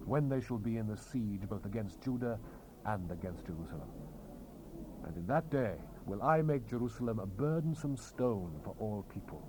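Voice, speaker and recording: A man's voice: lowish voice, darkish tone, rough recording. A man giving a solemn, declamatory dramatized reading of King James scripture, in the manner of a prophet, on an old cassette recording. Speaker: scripture narrator. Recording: hissy 1970s cassette rip, ambient sound behind.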